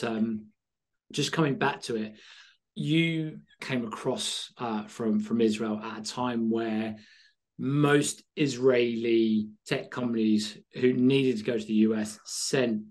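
A man's voice: medium pitch, fairly even intonation, slow pace. A man hosting a sales podcast, asking long, winding questions with restarts. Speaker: podcast host. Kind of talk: podcast interview.

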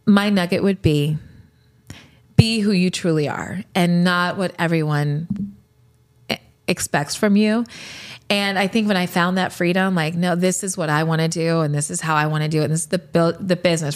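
A woman in her late forties hosts her own podcast and answers rapid-fire questions. Her voice sits on the low side. She speaks candidly and reflectively, and she laughs easily. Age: 40s. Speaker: podcast host.

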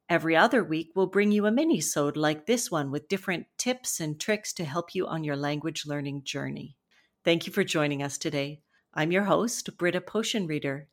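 A woman from western Canada with a West Coast Canadian accent, hosting a language-learning podcast and explaining things in a friendly, conversational way. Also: on the low side. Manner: friendly, explanatory, acts out example phrases. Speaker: podcast host and ESL teacher.

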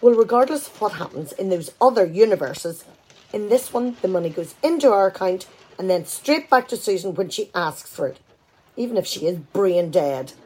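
A woman's voice: medium pitch, animated, moderate pace, mellow, breathy edge, rough recording. An amateur actress, a woman, playing a practical, firm wife in a conversational domestic radio play.

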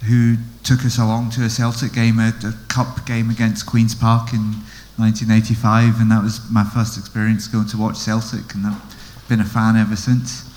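A man's voice: mid-range voice, a little hiss or hum. A man, not originally from Scotland, talking as a guest on a live podcast panel, hesitant with many restarts. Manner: hesitant, restarts, flat delivery. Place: live event venue.

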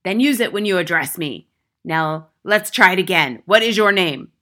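A woman's voice: on the low side, expressive, moderate pace, pushed and bright. A woman reading a storybook, voicing a gigantic tyrannical headmistress who barks contemptuous insults. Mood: angry scolding. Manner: barking, bellowing insults.

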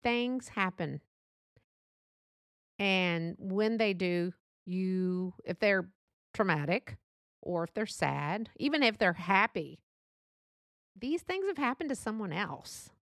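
A woman's voice: mid-range voice, animated, slow, long pauses, fairly bright tone, no room sound, clean studio sound. A middle-aged woman from Texas with a Texan accent, hosting a podcast in a chatty, rambling, self-deprecating solo monologue. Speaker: podcast host.

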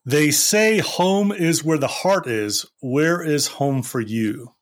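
A man from Scotland, hosting a casual podcast interview with brisk, upbeat questions. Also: a little high. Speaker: podcast host.

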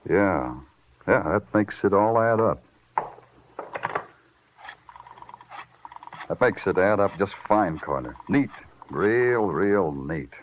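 An actor playing a wry, hardboiled police detective in 1940s radio noir, sardonic and terse, also narrating. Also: male voice, low voice, animated, a little slow, slight background noise. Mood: grim. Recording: tinny, band-limited old radio recording with music.

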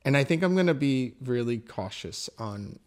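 A man's voice: medium pitch, expressive, normal speed. Young male co-host, blunt and impassioned, mid-range voice, casual podcast chat. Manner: blunt, impassioned, restarts phrases.

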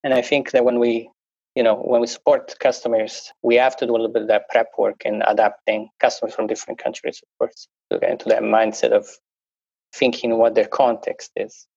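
A man's voice: medium pitch, little pitch movement, brisk, vocal fry, darkish tone, husky, dry recording. A man speaking English as a second language, talking through a podcast conversation with many restarts and fillers. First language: not English. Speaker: guest. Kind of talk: conversational interview talk. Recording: dull, dry lo-fi sound.

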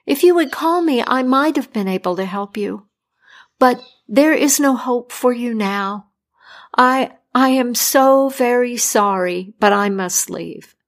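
A flustered young male doctor, voiced by a woman storyteller, stammering through his apologies. His voice sits a little higher than usual and he sounds anxious. Told as a spoken fairy tale. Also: measured pace. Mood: apologetic.

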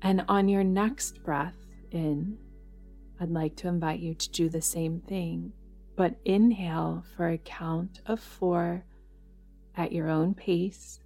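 A woman guides a meditation in a fairly low voice, speaking very slowly and gently. She leaves long, soothing pauses between her instructions. Music plays behind her. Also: fairly even intonation. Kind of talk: guided yoga nidra meditation. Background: background music.

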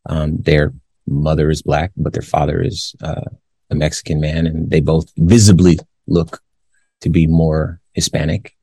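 A man in his forties from the Los Angeles area, hosting a commentary show. He reads a news story aloud, then gives his views in a measured way with many pauses. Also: rumbling low, level tone, dark tone, husky, no room sound. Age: 40s. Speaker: show host.

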